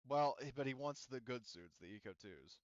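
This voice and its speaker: A man's voice: mid-range voice, lively intonation, normal speed, no echo, muffled recording. A man running a tabletop game as game master, explaining things casually and informally.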